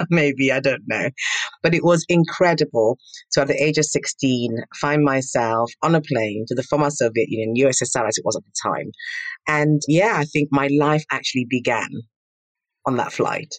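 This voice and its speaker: A middle-aged woman from Nigeria, telling her story as an interview guest in an animated, emphatic, self-assured way. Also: extremely low pitch, no room sound. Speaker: guest, entrepreneur. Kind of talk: interview answers.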